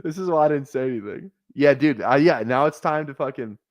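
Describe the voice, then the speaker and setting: A man's voice: mid-range voice, expressive, brisk, no echo. A man in his twenties chatting casually on a fantasy football livestream, rambling, swearing often and laughing at himself. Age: 20s. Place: small apartment room.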